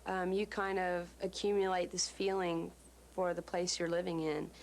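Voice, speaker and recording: Woman songwriter, lowish flat voice, thoughtful, faint room ambience. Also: moderate pace, slight background noise. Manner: thoughtful, searching phrasing. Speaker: musician interviewee. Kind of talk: reflective interview talk.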